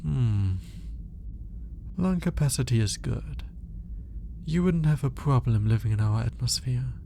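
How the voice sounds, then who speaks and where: A man performs an alien scientist in an intimate ASMR roleplay, studying with clinical curiosity and reluctant fascination. His voice is soft and mellow with a creaky, gravelly edge. He speaks slowly and with measured care, over a faint background noise. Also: medium pitch, animated. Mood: clinical, detached. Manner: clinical, measured, hesitant when flustered.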